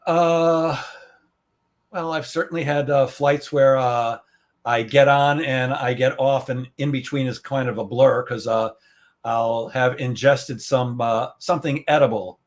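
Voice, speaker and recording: A man, a guest comedian and sales coach, talking in relaxed interview chat with many pauses and fillers. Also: medium pitch.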